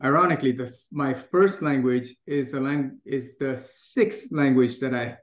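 A man's voice: medium pitch, fairly even intonation, a little slow. A middle-aged man from Taiwan, talking in a casual interview in a thoughtful way, with many restarts and easy laughs. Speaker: guest. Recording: echoey room, dull lo-fi sound.

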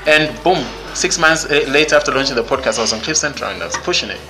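A man's voice: medium pitch, fast, low-quality recording. Outspoken man, music underneath. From Zimbabwe. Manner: outspoken and emphatic. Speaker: podcast guest. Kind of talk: casual interview talk. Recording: music playing clearly underneath.

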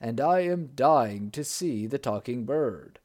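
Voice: medium pitch, lively intonation, slow, a little mellow. A storyteller voicing a commanding older sultan who speaks in a regal, emphatic manner.

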